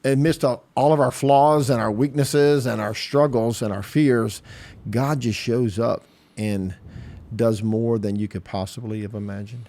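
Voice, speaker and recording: A pastor in his late fifties hosting a podcast, talking casually and warmly with a friend, often adding "man" and "yeah.". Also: mid-range voice, fairly even intonation, measured pace. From southeastern Louisiana. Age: 50s. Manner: warm, affectionate, says "man" and "yeah" a lot. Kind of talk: casual conversation.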